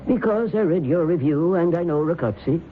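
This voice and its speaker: An actor playing a grave, scholarly professor of the occult, probing and measured, in an old-time radio drama. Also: male voice, on the high side, expressive, close to an everyday voice. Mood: grave, welcoming. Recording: tinny old radio broadcast, faint noise.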